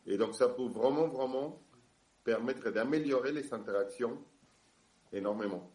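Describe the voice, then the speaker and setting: A man from Argentina with an Argentine Spanish accent, speaking on a panel in French and often pausing to search for words. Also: medium pitch, a little mellow, reverberant. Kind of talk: public discussion.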